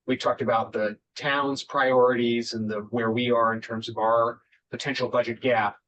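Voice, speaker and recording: A man's voice: little pitch movement, a bit bright. A man chairing a town committee meeting, speaking with frequent fillers and restarts. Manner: halting, many fillers and restarts. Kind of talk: public meeting remarks. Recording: muffled meeting recording with faint rumble.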